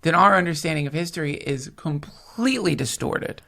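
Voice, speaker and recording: A man's voice: fairly high pitch, animated, moderate pace, vocal fry, pushed and bright. A man from the United States, a podcast host, rambling in casual chat with profanity and emphatic points. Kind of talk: casual conversation.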